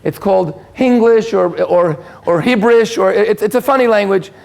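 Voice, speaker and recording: An Israeli man whose first language is Hebrew gives a relaxed talk to an audience in a community hall. His voice sits high and carries a gravelly fry. He stumbles through restarts and fillers and drops in dry jokes at his own expense. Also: normal speed. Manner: self-deprecating, joking asides, frequent restarts and fillers. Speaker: lecturer. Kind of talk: informal lecture to an audience.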